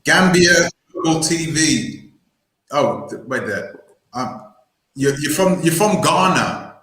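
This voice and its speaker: A man hosting a live call-in stream, speaking hesitantly with many pauses and "um"s. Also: fairly high pitch, lively intonation, sharp tone, roomy sound, rough recording. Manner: hesitant, lots of "um" and restarts.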